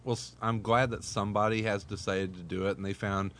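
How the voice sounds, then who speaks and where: A man's voice: on the low side, little pitch movement, fairly quick, fairly bright tone, slight background noise. A man in his thirties hosting a casual panel chat, talking hesitantly with many fillers and repeated words. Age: 30s. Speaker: podcast host.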